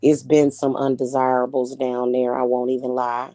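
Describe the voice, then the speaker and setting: A woman's voice: rumbling low, flat delivery, normal speed, mellow, dry recording, studio quality. A woman in her forties with a Kansas City Midwestern accent, chatting casually with viewers on a livestream in a rambling, slangy, frank way. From Kansas City, Missouri. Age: 40s. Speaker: livestream host reading chat. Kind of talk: casual chat.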